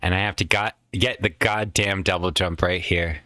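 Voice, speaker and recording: A man's voice: low voice, fairly even intonation, moderate pace, sharp tone, dry recording, clean studio sound. A man casually commenting while playing a video game, chatty and rambling with some swearing, his voice tired from a lot of recent use. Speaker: let's play host.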